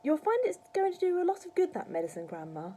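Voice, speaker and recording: A woman's voice: high-pitched, very animated, quick pace, dark tone, a little hiss or hum, pitched above the performer's own voice. A woman voicing a cheeky, polite young grandson in a children's storybook, with an eager, exclamatory manner. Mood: excited, proud.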